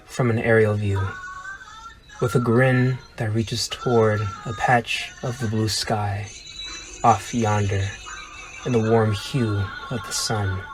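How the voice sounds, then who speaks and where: A man delivering a spoken-word poetic tribute in short, deliberate phrases. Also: on the low side, rough recording. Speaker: grandson giving a tribute. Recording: music clearly behind the voice. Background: music.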